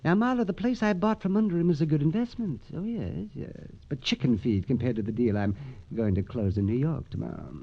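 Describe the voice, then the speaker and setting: A man's voice: on the high side, a little fast, few pauses. An actor playing a nervous, evasive businessman in 1940s radio crime drama, hesitant and stammering. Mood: evasive, defensive. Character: nervous, evasive male businessman, frightened and self-justifying. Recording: muffled vintage radio recording.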